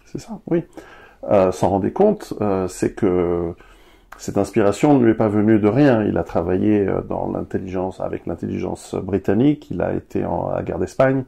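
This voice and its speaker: Guy musing as a guest, deep-ish and gravelly. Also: moderate pace, warm tone. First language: Italian. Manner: reflective, thinking aloud. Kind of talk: conversational interview.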